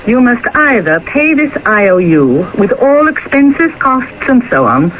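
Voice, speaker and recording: An actress playing an officious, impatient police captain in a radio drama, curt and authoritative. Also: female voice, fairly high pitch, very animated, measured pace. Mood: brisk, officious. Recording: thin phone-like old radio, noisy.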